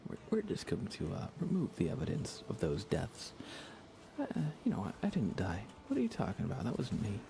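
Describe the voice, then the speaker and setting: A man's voice: lowish voice, huge pitch swings, brisk, gravelly, background noise. A man giving murmured ASMR commentary while livestreaming a video game, often repeating his phrases in playful mock grumbling. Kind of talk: whispered ASMR gaming commentary.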